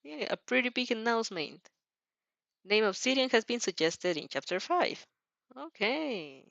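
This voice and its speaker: A woman's voice: fairly high pitch, unhurried, gravelly, no room sound, highs cut off. Giggly woman reading fan fiction. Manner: giggly, expressive, breaks off to comment. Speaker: fan fiction narrator and reactor. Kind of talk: dramatic reading with casual reactions.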